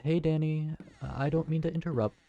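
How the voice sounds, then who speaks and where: A man's voice: a little high, steady tone, moderate pace, vocal fry, dark tone, dull audio, slight background noise. A man voicing a kind, awkward tavern keeper who talks in a hesitant, friendly way. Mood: cheerful. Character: kind, awkward young male tavern keeper named Briar. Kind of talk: fantasy audio roleplay.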